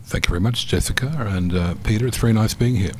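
A very deep male voice speaks with care, pausing often and restarting his sentences as he gives an interview. A faint hiss of noise sits underneath him. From New Zealand. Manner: measured, many ums and restarts. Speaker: architect guest. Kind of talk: interview answers.

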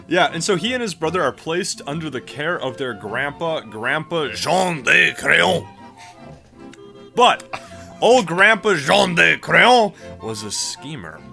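Jokey man, bright animated podcast voice. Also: a little high, slow, vocal fry, soft background music. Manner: jokey, irreverent, profane, mock-dramatic asides. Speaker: podcast co-host. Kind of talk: comedic history storytelling, casual banter.

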